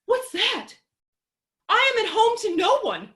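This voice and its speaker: Actress as young widow, stage comedy: very high voice, unhurried, melodramatic, imperious in her grief. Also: big pitch swings, a bit bright, heavily acted. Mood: wounded reproach. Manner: theatrical, drawn-out lamenting.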